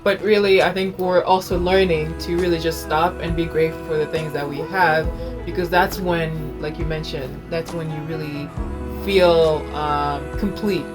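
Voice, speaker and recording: A woman's voice: low voice, steady tone, normal speed, music in the background. A woman co-hosting a casual video chat with her husband, speaking in an earnest, heartfelt way. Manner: earnest, warm-hearted, talks about faith. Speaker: co-host.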